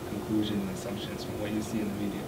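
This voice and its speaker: A man from Kuwait, an international student speaking on a discussion panel in an earnest, measured way. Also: lowish voice, completely flat delivery. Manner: earnest, measured, pausing often. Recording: roomy, distant mic, noisy hall.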